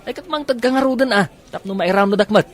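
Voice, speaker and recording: A man playing a teasing, excitable buddy in a radio comedy drama. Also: high voice, fast, lightly acted.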